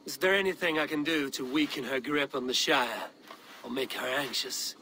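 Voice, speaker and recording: Casting: loyal, blunt Norse fighter in a game, sharp tone, challenging, slight ambient noise. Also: male voice, mid-range voice, steady tone, normal speed. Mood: resolved. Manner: direct, challenging. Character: blunt, skeptical Norse warrior, loyal brother.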